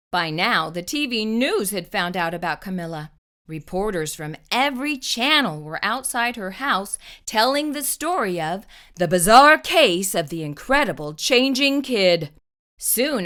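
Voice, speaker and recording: A woman narrating a children's storybook expressively, with deliberate, dramatic pauses. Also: mid-range voice, a bit bright. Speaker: children's storytime host reading aloud.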